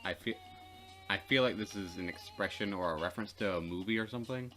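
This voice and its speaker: Low-pitched man reading a game aloud. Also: fast talker, a bit bright, soft background music. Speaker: let's play co-host and reader. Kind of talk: reading game text aloud with casual banter.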